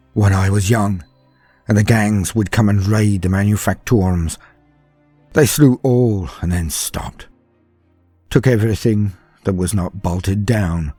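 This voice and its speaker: A man playing a frightened, haunted far-future soldier, telling his horror story in a dramatic first-person monologue with brooding pauses and shifts from hushed to emphatic. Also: low-pitched, husky, dry recording. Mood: bitter, haunted. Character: frightened, haunted far-future soldier, former factory worker, recalling terrors.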